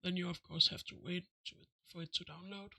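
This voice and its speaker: A man narrating a casual step-by-step software tutorial with plain, simply worded instructions. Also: high-pitched, flat delivery, fairly quick, creaky, no echo. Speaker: tutorial host.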